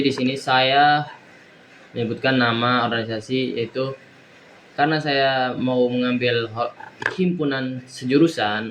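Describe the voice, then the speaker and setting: A young man, a university student, giving a recorded exam presentation in a halting way with many fillers and restarts. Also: medium pitch, fairly bright tone, a little hiss or hum, low-quality recording. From East Nusa Tenggara, Indonesia. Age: young adult.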